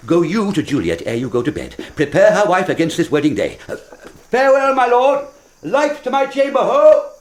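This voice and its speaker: An actor playing a blustering, impulsive older lord in a radio Shakespeare drama, rambling with abrupt exclamations. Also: male voice, high-pitched, big pitch swings, moderate pace. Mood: hearty.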